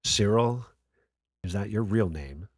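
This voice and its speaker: A man acting as a skeptical late-night talk radio host with a fairly low voice, recorded close and dry. He challenges his callers with wry, sardonic banter in a radio play. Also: lively intonation, slow pace, breathy edge, no echo, full character voice. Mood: dry, dismissive.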